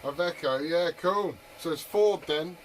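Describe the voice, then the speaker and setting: A man's voice: a little high, unhurried. A British man chatting casually over CB radio, often asking short questions. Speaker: CB radio operator. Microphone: two-way radio microphone. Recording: very noisy CB radio transmission.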